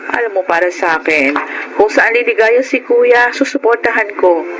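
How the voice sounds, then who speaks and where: Actress as Aida, chatty supportive older sister. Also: female voice, on the high side, moderate pace, over music, lightly acted, low-quality recording. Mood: insistent, supportive. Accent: Filipino. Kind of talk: Filipino radio drama acting.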